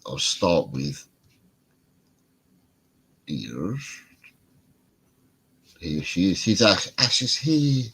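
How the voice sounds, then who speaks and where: Male British host, very low husky voice, stuffed-up and congested, rambling casual chat. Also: very animated, drawn-out words, pauses often, fairly bright tone. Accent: British English. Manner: rambling, repeats himself. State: congested, bunged up. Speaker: podcast host.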